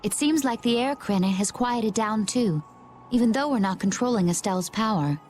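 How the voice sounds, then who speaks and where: A woman voicing a composed, firm, mysterious woman warrior in a video game, deliberate in manner. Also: fairly high pitch, animated, darkish tone, slight background noise, lightly acted.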